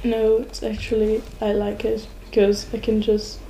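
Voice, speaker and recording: A woman's voice: medium pitch, completely flat delivery, moderate pace, creaky, mellow, slight background noise, rough recording. A sixteen-year-old young woman with a German accent, giving casual answers as an interview guest. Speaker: exchange student guest.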